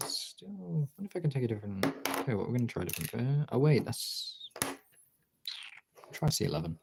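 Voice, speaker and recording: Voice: medium pitch, very animated, fairly quick, vocal fry, background noise. A streamer chatting casually while demonstrating drawing, talking in an informal, sweary way. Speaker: art streamer.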